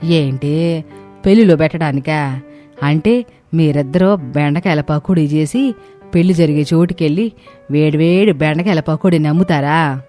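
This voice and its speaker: Casting: female narrator as scolding older mother-in-law, demanding, low register, moral-story drama, music underneath. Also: normal speed, pauses often, dark tone, close to an everyday voice. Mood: angry, incredulous. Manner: scolding, questioning.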